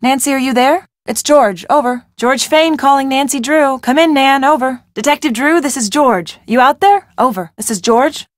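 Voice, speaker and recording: A woman's voice: sits high, moderate pace, hardly any pauses, no echo, clean studio sound, close to an everyday voice. An actress playing a spirited, earnest young woman in a video game, a loyal and anxious friend who is wry and plucky. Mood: calling out.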